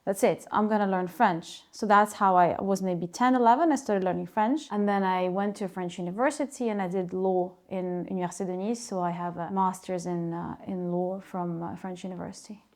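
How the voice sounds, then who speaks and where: A woman's voice: fairly low pitch, a little fast, hardly any pauses, darkish tone. A woman in her thirties from Ukraine, a native Russian speaker, talking through her story as a school co-founder in an interview, chatty with frequent asides. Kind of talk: interview answers to camera.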